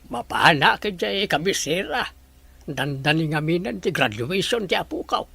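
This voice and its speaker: A plain-spoken old village grandfather in a radio drama. His voice is creaky and husky, with a Filipino accent. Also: fairly high pitch, sing-song, moderate pace, sharp tone, no room sound, heavily acted. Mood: plain, friendly. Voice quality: gravelly, husky. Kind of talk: Philippine radio drama acting.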